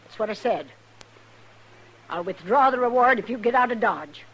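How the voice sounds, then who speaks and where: An actress playing a cold, vengeful frontier widow with curt, defiant, rustic speech in a Western radio drama. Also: female voice, on the high side, animated, moderate pace, fairly bright tone, husky, muffled recording. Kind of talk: 1950s Western radio acting.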